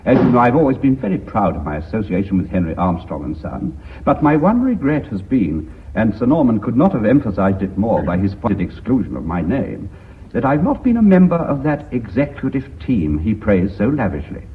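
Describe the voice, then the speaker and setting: Self-important British politician, thin sound. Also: male voice, on the low side, big pitch swings, brisk, slight background noise. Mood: formal, aggrieved. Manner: formal, oratorical. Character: hesitant, self-important, aggrieved politician and company director. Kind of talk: BBC radio drama acting. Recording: thin, phone-like old radio recording.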